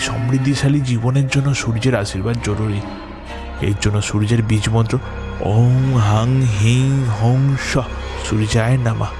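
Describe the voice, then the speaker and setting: A man's voice: medium pitch, lightly acted. Man acting anxious captive over music. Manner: hesitant, pleading, earnest. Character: anxious captive man, earnest researcher pleading with his captor. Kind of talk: Bengali horror audio drama acting. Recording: music under the voice.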